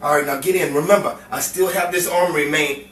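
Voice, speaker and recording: A man's voice: fairly high pitch, steady tone, fairly quick, fairly bright tone, natural voice. A man playing a cocky, menacing gangster boyfriend with slangy tough-guy talk in a 1950s crime melodrama. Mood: brisk, commanding. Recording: roomy, distant mic, faint noise.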